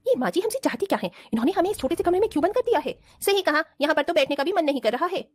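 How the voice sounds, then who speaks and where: A woman's voice: high voice, lively intonation, very fast. A woman playing spoiled, work-shy young rich daughters-in-law in a cartoon family drama, in a whiny, complaining manner. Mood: annoyed, complaining.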